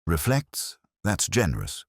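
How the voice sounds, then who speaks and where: A man voicing a patient, dryly witty police inspector in an audio mystery, understated and measured. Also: low-pitched, wildly swooping pitch, creaky, slightly rough, dry recording, clean studio sound, heavily acted. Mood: wry.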